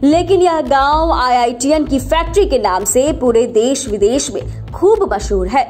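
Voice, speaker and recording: A woman narrates a scripted news report in a high-pitched voice. She works as a voice-over reporter, reading a feature story, with music playing behind her. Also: a little mellow. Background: background music.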